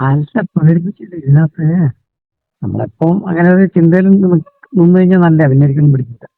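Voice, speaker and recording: Husky man on a tinny phone line. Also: fairly high pitch, a little fast. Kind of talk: casual intimate phone chat. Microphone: telephone call. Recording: tinny phone call, echoey.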